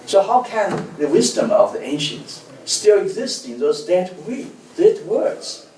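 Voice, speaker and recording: A man gives a university lecture as a guest lecturer, telling stories in an animated way with many fillers and restarts. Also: high voice, unhurried, slight background noise. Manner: lively storytelling, frequent fillers and restarts. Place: lecture hall. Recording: echoey hall, distant mic.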